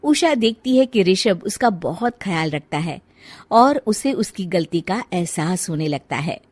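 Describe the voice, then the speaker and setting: A woman's voice: lowish voice, animated, unhurried, darkish tone, dry recording. A woman reading scripted narration for a moral story as its narrator.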